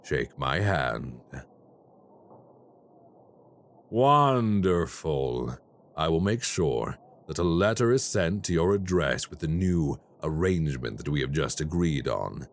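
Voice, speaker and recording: A man voicing a cold, calculating crime boss in an audio roleplay, speaking in a slow, measured and formally menacing way. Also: rumbling low, sing-song, full character voice. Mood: satisfied.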